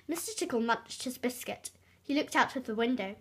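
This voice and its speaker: A woman reading a children's storybook aloud expressively, with dramatic, drawn-out emphasis on key words. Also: on the high side, animated, a bit bright, husky. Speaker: storybook reader.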